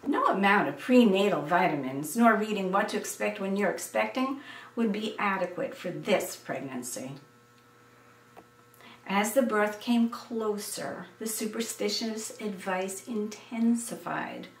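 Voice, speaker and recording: Older American woman, seventies, unhurried, expressive memoir narration, roomy echo, distant microphone. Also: medium pitch, a little hiss or hum. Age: 70s. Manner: expressive, deliberate, dramatic storytelling. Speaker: essayist reading her memoir. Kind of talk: scripted personal narration.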